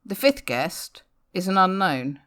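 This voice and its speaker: A woman reading a comic narrative poem aloud in a wry, theatrical, expressive way. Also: on the low side, slow. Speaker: poetry reader. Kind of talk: dramatic spoken-word poem reading, rhyming storytelling.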